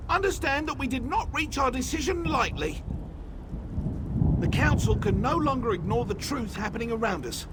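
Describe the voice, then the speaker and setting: A man playing a solemn, formal elf council elder in a video game, with a grave, measured manner. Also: very high voice, heavy background noise.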